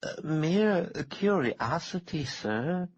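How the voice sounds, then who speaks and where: A wild-eyed, simpering woman with a thick Southern US drawl, put on by a male reader. The pitch climbs far above the reader's own low voice, and the words are drawn out slowly. It sounds dull and lo-fi. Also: expressive, breathy edge, no echo, exaggerated voice.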